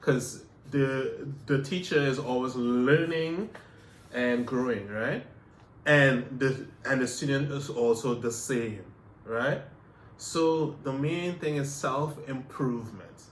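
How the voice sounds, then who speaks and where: A man's voice: medium pitch, slow, reverberant, a little hiss or hum, low-quality recording. A man from Canada co-hosting a casual chat, talking in a reflective way with many repeats and fillers. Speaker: podcast co-host.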